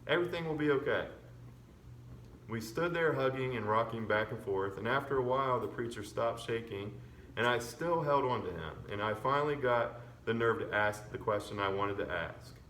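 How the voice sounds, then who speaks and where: A man's voice: medium pitch, lively intonation, moderate pace, fairly bright tone. Male teacher reading aloud in a roomy space. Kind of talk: expressive children's chapter book read-aloud. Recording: roomy echo with background noise.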